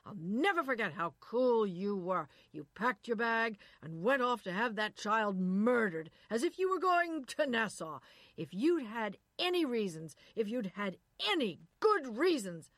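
A woman voicing a bitter, anxious husband in his thirties who lectures and shouts. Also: fairly low pitch, moderate pace, no room sound, natural voice. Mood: shouting. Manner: resentful, lecturing, rising to shouting.

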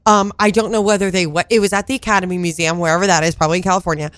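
A woman's voice: on the low side, fairly even intonation, racing, fairly bright tone, no room sound. A woman co-hosting a casual podcast chat, congested with her voice not fully back, chatty and rambling with frequent laughs. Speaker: podcast co-host.

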